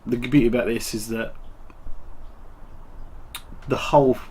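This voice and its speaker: A man's voice: medium pitch, measured pace, a little hiss or hum. Bunged-up man with a cold. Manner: relaxed, rambling, full of ums. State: congested with a cold. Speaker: tabletop wargaming channel host. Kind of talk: casual hobby show-and-tell.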